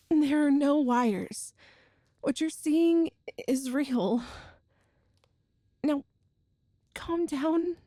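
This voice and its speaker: Woman acting a young girlfriend, high-pitched, slow-paced, nervous, tender, earnest, hesitant, intimate ASMR roleplay. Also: mellow, dry recording, clean studio sound. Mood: soothing. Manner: hesitant, halting, earnest, breathy sighs and nervous laughs. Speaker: audio roleplay performer.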